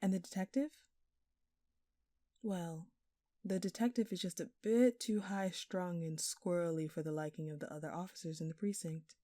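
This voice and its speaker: Woman playing a smug, flirty thief, low mellow voice. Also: steady tone, moderate pace, dry recording, clean studio sound, natural voice. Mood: taunting, insinuating. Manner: teasing, sly, mock-innocent. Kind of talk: audio roleplay acting addressed to the listener.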